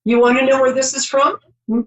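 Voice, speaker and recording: A woman's voice: sits high, level tone, fairly quick, a bit bright, low-quality recording. A middle-aged woman hosting a call-in psychic show, chatty and reassuring, rambling with many ums.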